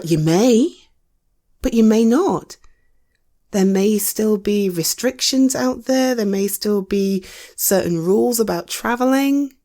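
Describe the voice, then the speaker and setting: Slow soft-spoken british woman playing a supportive girl talking someone round, warm mellow tone, gentle n hesitant, close roleplay audio chat. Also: medium pitch. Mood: gently realistic. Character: supportive, caring woman gently reassuring a loved one. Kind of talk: intimate conversational audio roleplay, one side of a dialogue.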